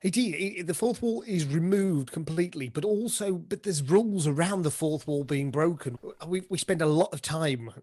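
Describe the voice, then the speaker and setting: Chatty man, higher voice, very dry sound. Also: normal speed, few pauses, darkish tone, dry recording. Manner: chatty, jokey. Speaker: interview guest, pantomime dame and director. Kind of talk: casual explanatory interview talk.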